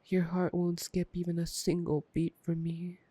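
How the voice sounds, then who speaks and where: A man playing a taunting, playful, predatory vampire in an intimate ASMR roleplay, teasing and drawling with soft menace. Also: high voice, monotone, moderate pace, husky, no echo. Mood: taunting, menacing.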